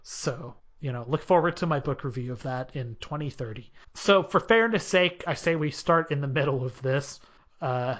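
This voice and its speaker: A man's voice: on the high side, lively intonation, normal speed, a bit bright. A man hosting a casual podcast chat, rambling and joking with many hesitations. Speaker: podcast host. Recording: dull lo-fi podcast audio.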